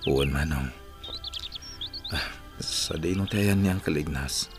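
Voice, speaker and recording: Very deep, gravelly wounded brother. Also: male voice, little pitch movement, fast, music in the background. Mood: weak, subdued. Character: weakened, injured younger brother. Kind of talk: radio drama acting.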